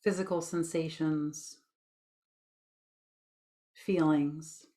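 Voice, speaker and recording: A woman leading a guided meditation with gentle, informal instructions in a soothing, unhurried manner. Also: low voice, fairly even intonation.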